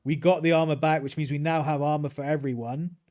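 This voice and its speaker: A man's voice: on the high side, steady tone, brisk, darkish tone. A British man casually commentating his gameplay on a livestream and chatting with his viewers. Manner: easygoing, chats with viewers while playing. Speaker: streamer.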